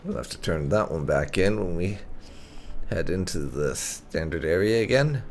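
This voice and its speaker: Low, creaky-voiced man commenting on a game. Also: lively intonation, moderate pace. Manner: wry, sardonic. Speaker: gaming video host. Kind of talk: casual roleplay game commentary.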